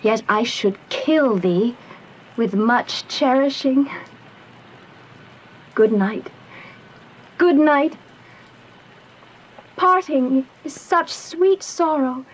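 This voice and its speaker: A woman's voice: high voice, animated, takes it slowly, long pauses, heavily acted, low-quality recording. An actress playing a tender, lovestruck young noble girl in a Shakespearean radio drama, with yearning, lyrical delivery. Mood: tender, reluctant. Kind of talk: classical Shakespearean verse acting in radio drama.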